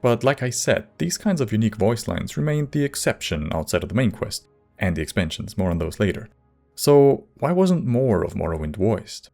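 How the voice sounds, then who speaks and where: Low-voiced male video narrator. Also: sing-song, moderate pace, creaky, a little mellow. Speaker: video essay host. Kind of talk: scripted narration. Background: faint music.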